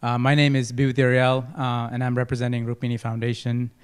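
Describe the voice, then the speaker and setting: A man from Nepal pitches for a non-profit in an informal, hesitant manner. His voice is smooth and clear, at a medium pitch. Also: completely flat delivery, fast talker. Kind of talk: informal spoken presentation.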